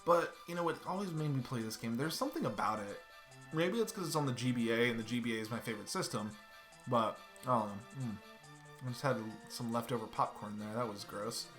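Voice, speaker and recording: A man's voice: mid-range voice, lively intonation, fairly quick, a bit bright, faint music behind. A man in his thirties streaming a game from home, chatting loosely and swearing casually as he plays. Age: 30s. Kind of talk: casual let's-play commentary. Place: home room.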